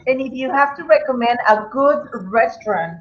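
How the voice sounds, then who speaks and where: A woman hosts a casual interview and asks eager, chatty questions. Her sound is a little dull, with a faint rumble behind her. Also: lively intonation, moderate pace. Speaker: host. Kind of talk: interviewing guests.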